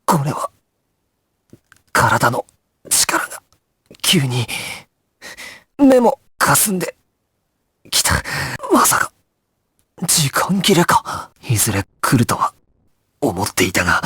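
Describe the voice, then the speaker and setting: A man's voice: medium pitch, animated, slow pace, long pauses, bright, forceful tone, breathy edge, no room sound, clean studio sound, heavily acted. A male actor playing a resolute, composed young gangster squad leader in an anime video game, terse and authoritative in his clipped orders. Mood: weakened, strained. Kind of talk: Japanese anime game voice acting, dramatic.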